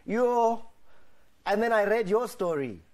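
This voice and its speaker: A man's voice: high-pitched, expressive, slow pace, crowd noise. A man hosting a TV show, interviewing casually in a playful, exclamatory manner. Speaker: TV show host.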